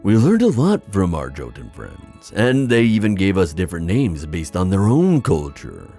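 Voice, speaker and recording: A man playing a wistful, theatrical sea god in an ASMR fantasy roleplay, musing with hesitant pauses and drawn-out phrasing. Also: deep voice, wildly swooping pitch, mellow, faint music behind, full character voice. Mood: pensive.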